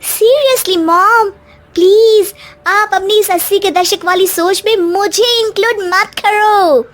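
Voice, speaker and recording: A woman's voice: very high voice, slow pace, pitched above the performer's own voice. A woman voicing a spoiled, brash young daughter-in-law in a cartoon story, sassy and dismissive, dropping in English words. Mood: haughty.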